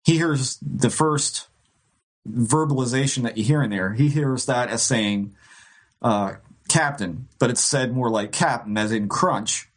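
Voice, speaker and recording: A man's voice: mid-range voice, unhurried. A man co-hosting a podcast, telling a ghost-hunting story in a rambling, casual way with frequent restarts and light laughs. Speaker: co-host.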